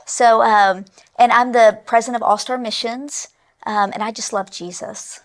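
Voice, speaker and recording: A woman guest who runs a missions group, introducing herself in a bubbly, warm way. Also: a little high, fairly even intonation, normal speed. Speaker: missions president, podcast guest. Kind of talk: casual chat.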